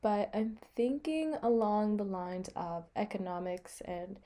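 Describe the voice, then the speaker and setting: A woman's voice: medium pitch, animated, measured pace, mellow. A young American woman, about eighteen, chatting casually as a podcast co-host. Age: young adult, about eighteen. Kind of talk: casual chat.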